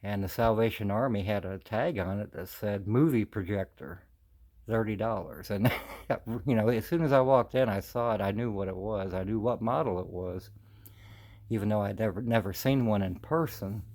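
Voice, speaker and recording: A man with an American accent chatting casually and haltingly for a video, his articulation a little slurred from missing teeth. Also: on the low side, a little mellow. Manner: hesitant, halting, folksy. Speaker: vintage audio collector presenting his channel. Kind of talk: casual unscripted video chat.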